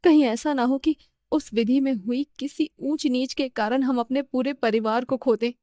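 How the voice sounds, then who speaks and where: A woman playing a worried young girlfriend in an animated horror story, speaking in an urgent, insistent way. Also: high-pitched, normal speed, no room sound, studio quality, lightly acted. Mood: uneasy, suspicious.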